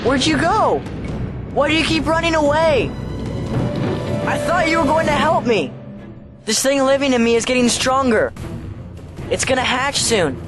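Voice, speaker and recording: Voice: high voice, expressive, normal speed, pauses often. An actor playing a possessed teenage boy in an audio drama, swinging between needy pleading and cold taunting. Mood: needy, calling out. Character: possessed teenage boy, menacing yet pleading with his mother. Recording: music under the voice.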